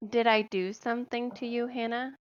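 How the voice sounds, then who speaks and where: Woman, smooth clear voice, medium pitch, pointed questioning manner, slightly muffled voice-chat audio. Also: steady tone, normal speed. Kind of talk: casual voice-chat discussion.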